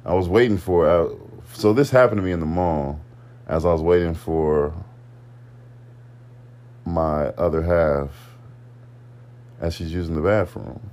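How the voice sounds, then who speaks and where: A man's voice: sits low, a little slow, long pauses, a little mellow. A man co-hosting a podcast in casual chat, laid-back and terse, saying little and explaining less. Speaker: podcast co-host.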